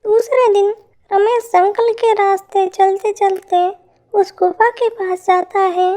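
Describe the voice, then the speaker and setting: Very high, slow female story narrator. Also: fairly even intonation, dark tone. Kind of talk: scripted children's story narration.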